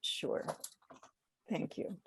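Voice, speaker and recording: A woman's voice: drawn-out words, slight background noise. A woman poet speaking reflectively and a little hesitantly as a podcast guest, talking about literature and reading.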